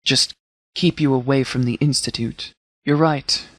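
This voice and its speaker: An actor playing a stern but caring military commander in a video game, speaking in a measured, formal, authoritative way. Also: male voice, medium pitch, fairly even intonation, no echo, lightly acted. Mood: grave, reflective. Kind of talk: scripted game dialogue.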